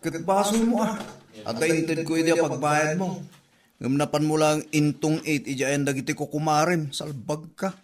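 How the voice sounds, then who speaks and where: A man's voice: a little high, normal speed, a little hiss or hum, close to an everyday voice. A man acting a lazy, grumbling village husband with an offhand manner in a comedy drama. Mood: scolding.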